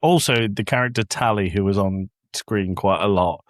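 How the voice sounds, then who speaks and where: A man's voice: on the low side, normal speed, dry recording, studio quality. A man co-hosting a podcast, giving blunt opinions in casual review chat. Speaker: podcast co-host.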